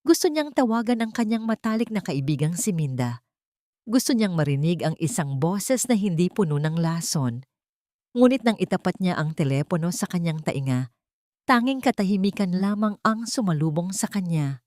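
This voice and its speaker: A woman's voice: fairly low pitch, sing-song, normal speed, a little mellow, no echo, clean studio sound. A woman storyteller reading scripted, dramatic narration for an audio story.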